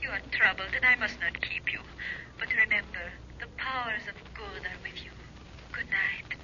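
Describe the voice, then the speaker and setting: An actress playing a mysterious woman who speaks in slow, solemn, archaic phrases, in 1930s radio drama style. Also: medium pitch, sharp tone, slight background noise. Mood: anxious. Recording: muffled old radio recording with music.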